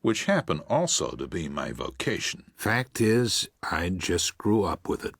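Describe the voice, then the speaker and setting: A man playing a gentle, reflective preacher of seventy-six in a first-person audiobook, with a measured, husky, aged voice. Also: low-pitched, very animated, no room sound, clean studio sound, full character voice. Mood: tender. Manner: measured, tender, musing. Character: gentle, reflective 76-year-old Midwestern preacher writing to his son. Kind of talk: first-person audiobook narration with acted dialogue.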